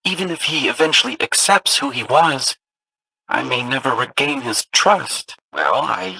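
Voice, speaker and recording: A man playing an anxious, sensitive small companion in a video game, raspy, creaky, hesitant and expressive. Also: medium pitch, measured pace, dry recording, heavily acted, rough recording. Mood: worried. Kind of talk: scripted video game acting.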